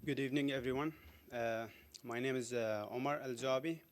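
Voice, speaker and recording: A teenage boy from Syria introducing himself in a soft, hesitant way with fillers. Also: medium pitch, fairly even intonation, a little fast, slight background noise. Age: teens. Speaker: student presenter.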